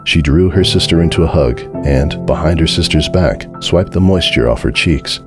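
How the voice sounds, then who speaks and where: A man giving a dramatic scripted narration of a story in a measured, solemn way. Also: very deep voice. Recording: music behind the voice.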